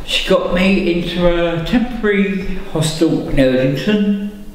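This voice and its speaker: Man, 50s, slow and plain-spoken, fairly high voice, echoey room. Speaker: hostel resident. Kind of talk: documentary interview. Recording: echoey room with faint noise.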